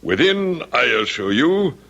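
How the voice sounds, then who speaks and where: An actor playing a pompous, deranged aristocrat who sits as a merciless judge, declaiming in archaic language in an old-time radio drama. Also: male voice, on the high side, sing-song, a little slow, a bit bright, highs cut off, full character voice. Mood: coldly pronouncing.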